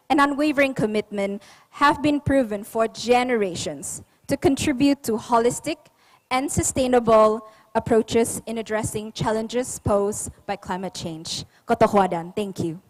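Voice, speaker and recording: A young adult woman delivering a measured, formal prepared speech to a forum. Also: on the high side, poor audio. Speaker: youth panel speaker.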